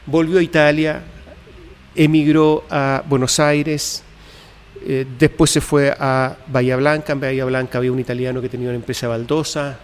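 A man, a guest telling his family history in an interview, pausing often with fillers and restarts. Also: medium pitch, level tone, smooth, big-room sound. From Chile. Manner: hesitant, many fillers and restarts.

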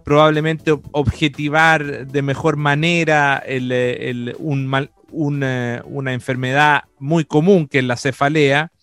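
A man's voice: on the high side, fairly even intonation, unhurried, hardly any pauses, a bit bright, clean tone, soft background music. A man interviewing a guest on the radio in a conversational, hesitant way. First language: Spanish.

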